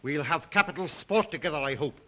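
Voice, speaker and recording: A Russian-accented count obsessed with the hunt. The voice is high for a man and cuts brightly. He speaks with courtly, brooding deliberation in the style of old film melodrama. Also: animated, brisk, a little hiss or hum. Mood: suave, eager. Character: aristocratic Russian count, suave, obsessive big game hunter. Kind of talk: 1930s film-era melodramatic acting.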